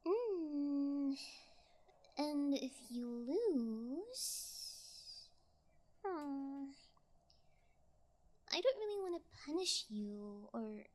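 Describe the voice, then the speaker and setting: Casting: woman performing a yangire girl captor, ASMR audio drama; unhurried, very expressive swings, bored, teasing, sweetly sociopathic. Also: sits high, full character voice. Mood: playful, teasing. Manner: lazy, teasing, falsely sweet, casual. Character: bored young female yangire kidnapper, playfully sociopathic. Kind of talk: ASMR audio role play.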